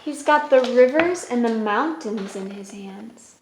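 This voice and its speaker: A woman's voice: fairly high pitch, expressive, measured pace, noisy background. A woman hosting a children's story time, reading aloud and explaining in a simple way. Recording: roomy echo with music.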